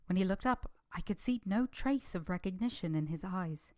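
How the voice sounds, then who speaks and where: An actress playing a reserved, polite eighteen-year-old English governess in a vintage-style radio drama. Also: female voice, fairly low pitch, expressive, normal speed, a little mellow, lightly acted. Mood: reflective. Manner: restrained, polite, brief and direct in answers. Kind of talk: vintage radio drama acting with first-person narration.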